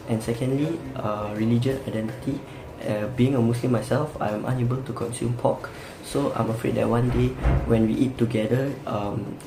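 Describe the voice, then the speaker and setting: A young man, a student, talking through a reflection hesitantly, with many fillers and restarts. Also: medium pitch. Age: young adult. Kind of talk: semi-prepared reflection. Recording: echoey room with background noise.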